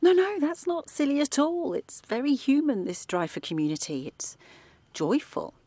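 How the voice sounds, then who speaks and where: An actress playing a middle-aged former activist turned art teacher from London, talking softly late at night in a radio drama. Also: female voice, high voice, sing-song, normal speed, dry recording. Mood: warm. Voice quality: soft, hushed late-night talk. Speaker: character in audio drama.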